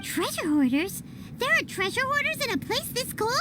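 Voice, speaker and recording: Squeaky, very high childlike fairy companion. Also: female voice, moderate pace. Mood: indignant. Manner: exclamatory, expressive, questioning. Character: small chirpy childlike fairy companion, curious and outspoken. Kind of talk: video game cutscene acting. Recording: loud background noise.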